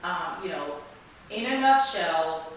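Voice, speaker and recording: Low-voiced woman hosting, very echoey room. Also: animated, slow. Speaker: talk show host interviewing a guest. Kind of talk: casual conversational interview. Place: indoors in a museum. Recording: very echoey room, distant mic, hiss.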